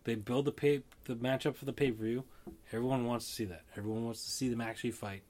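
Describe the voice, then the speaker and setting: A man with an everyday mid-pitched voice rambles through a casual chat. He thinks out loud, circling back on his points as he goes. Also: steady tone, fast. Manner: rambling, thinking aloud with fillers and restarts.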